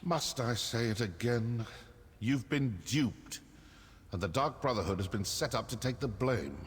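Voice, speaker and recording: An actor playing a sly, sardonic assassin in a fantasy game, theatrical, dry and condescending. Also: male voice, medium pitch, lively intonation, unhurried, slightly rough. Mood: sardonic, defiant. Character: sly, sardonic male assassin of a secret guild. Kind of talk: scripted fantasy game acting. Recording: long reverb, faint noise.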